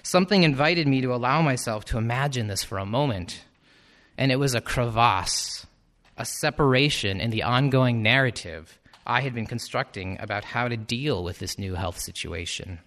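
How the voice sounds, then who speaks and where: Guy reading his own reflective essay, calm and thoughtful, bit wry. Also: medium pitch, normal speed. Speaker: teacher. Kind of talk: reflective personal essay narration.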